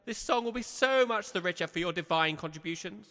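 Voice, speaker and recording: A man playing a pompous, excitable village major with a flowery manner and an upper-class English accent in a comic audio drama. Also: high-pitched, a little fast, no echo, studio quality, full character voice. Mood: jovial.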